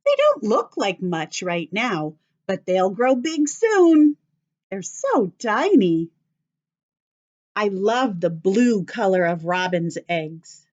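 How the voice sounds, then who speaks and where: An American woman teacher reads a children's book aloud at a slow pace. Her voice swings widely in a sing-song way as she puts questions to young listeners. The recording is slightly dull and lo-fi. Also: mid-range voice, very animated, a little mellow. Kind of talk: children's story read-aloud.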